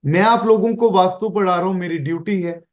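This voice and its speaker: A man's voice: sits high, a little fast, muffled recording. A man lecturing in an online class, mixing English phrases into Hindi and asking rhetorical questions. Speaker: Vastu teacher.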